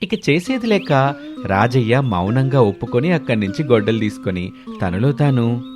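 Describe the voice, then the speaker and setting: A man's voice: medium pitch, sing-song, moderate pace, over music. A man narrating a scripted moral story as a storyteller.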